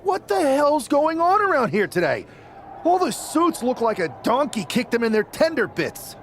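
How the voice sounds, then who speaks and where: A man's voice: extremely high pitch, huge pitch swings, normal speed, slight background noise, full character voice. An actor playing an earnest, folksy male pro wrestler in a video game cutscene. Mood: baffled, indignant.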